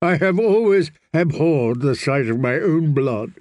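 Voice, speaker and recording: A prissy, simpering eunuch, put on by a man reading aloud. The voice sits higher than plain talk and drags out each word in a breathy, halting flutter. Close and dry, with no room around it. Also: expressive, a little mellow, no room sound. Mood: wary. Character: fussy simpering soft-spoken eunuch man, middle-aged.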